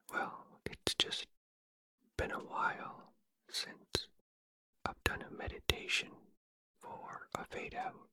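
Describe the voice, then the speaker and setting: A man hosting a sleep relaxation podcast in a breathy whisper, speaking in a gentle, soothing ASMR style. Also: very high-pitched. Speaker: podcast host and relaxation guide.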